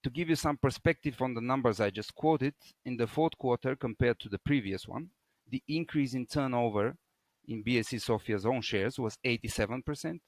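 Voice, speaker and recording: A man's voice: medium pitch, no room sound. Dry-sounding man presenting. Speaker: company presenter handing over to colleague. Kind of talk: scripted business presentation with figures.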